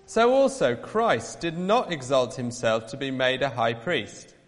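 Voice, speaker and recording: A man reading scripture aloud in church, slow, solemn and lilting. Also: mid-range voice, animated, long reverb tail. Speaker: Bible reader.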